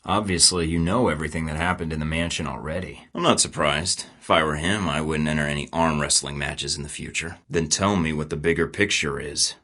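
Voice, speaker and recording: A very deep, sardonic game spy. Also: male voice, fairly quick, hardly any pauses, pushed and bright, exaggerated voice. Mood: wry. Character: wry male secret agent, young adult. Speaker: video game protagonist. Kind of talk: game voice lines. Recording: studio game dialogue.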